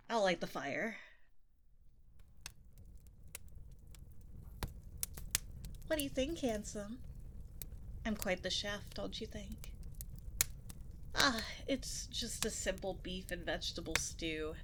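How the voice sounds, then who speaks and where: Woman acting a slow-speaking, seductive witch. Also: lowish voice, natural voice. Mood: coy, attentive. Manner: coy, flirtatious, politely sinister. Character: seductive, possessive witch on an isolated island. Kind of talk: ASMR audio roleplay. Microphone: close binaural 3Dio microphone. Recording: nature sounds and fire crackle behind voice. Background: nature ambience, fireplace.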